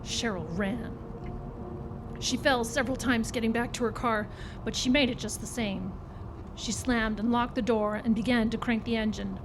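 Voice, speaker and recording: A woman's voice: on the high side, fairly even intonation, moderate pace. A woman reading a horror story aloud as a dramatic narrator, recorded live in a performance club. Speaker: story reader. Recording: live club recording, clear background noise.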